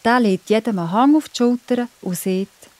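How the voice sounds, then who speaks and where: A woman's voice: a little high, normal speed, darkish tone, no echo. A woman with a Swiss accent narrating a children's story.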